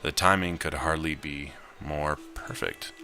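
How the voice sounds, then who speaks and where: A man's voice: extremely low pitch, fairly even intonation, measured pace, bright, forceful tone, faint music behind. A man reading a visual novel aloud as he plays it, with casual commentary. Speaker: gamer narrating his gameplay.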